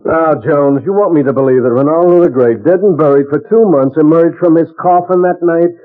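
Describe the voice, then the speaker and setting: A man's voice: a little high, fairly quick, phone-quality sound, close to an everyday voice. A man playing a skeptical judge in an old radio drama, brusque, emphatic and declamatory. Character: skeptical, authoritative judge, easily flustered by fear. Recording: echoey thin old radio recording, music.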